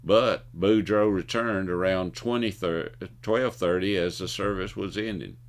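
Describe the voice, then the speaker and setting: Deep-voiced folksy older man. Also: steady tone, measured pace. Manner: folksy, opinionated, stumbles over words while reading. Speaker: host of a video commentary show. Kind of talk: scripted commentary with jokes and anecdotes.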